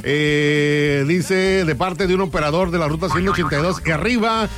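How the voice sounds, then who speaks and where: A Mexican man with a high, bright speaking voice hosts a morning radio show, bantering and laughing at his listeners' jokes. A music bed plays clearly behind him. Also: moderate pace. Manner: jokey, bantering, laughs at puns. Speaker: radio host.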